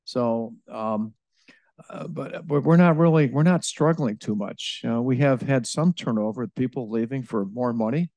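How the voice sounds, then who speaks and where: A man answers audience questions at a live event, his voice mellow and dark. The sound is dry and close. He stops and restarts often, fills gaps with "um", and keeps checking in with "okay?". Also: medium pitch, no echo. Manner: halting, with many fillers, restarts and a tag "okay?". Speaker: utility innovation director answering audience questions. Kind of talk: live presentation Q&A.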